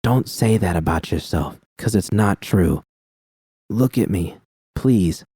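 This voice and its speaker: A man voicing a steady young woman mercenary who speaks calmly and reassuringly. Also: deep voice, takes it slowly, breathy edge, no room sound, studio quality, full character voice. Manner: calm, gently reassuring.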